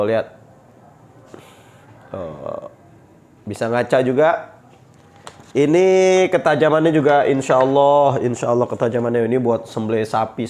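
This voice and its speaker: A man's voice: medium pitch, a little mellow, a little hiss or hum. A man with a stuffy nose from a cold, chatting upbeat as he shows off knives for sale. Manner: chatty, upbeat selling patter with pious exclamations. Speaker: knife seller. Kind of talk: casual product showcase.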